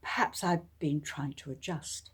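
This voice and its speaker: A young Regency woman acted by a female reader, wistful and polite over hidden heartache. She speaks haltingly and in a subdued way, as in a romance audiobook. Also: deep voice, a bit bright, breathy edge, full character voice. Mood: resigned. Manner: hesitant, subdued, faltering. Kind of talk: dramatic romance audiobook reading.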